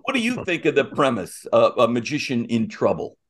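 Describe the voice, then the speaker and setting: A man with a mid-range, highly animated voice co-hosts a relaxed interview. He speaks effusively, in halting bursts, repeating words as he builds his point. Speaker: podcast co-host. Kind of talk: casual interview chat.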